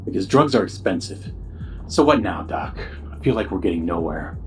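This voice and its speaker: A man's voice: sits low, lively intonation, normal speed, soft background music. A man playing a broke, sleepless musician in an audio drama, sardonic and griping with theatrical indignation. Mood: indignant, sarcastic. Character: struggling, insomniac musician, broke, sarcastic and bitter. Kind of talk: scripted audio drama dialogue.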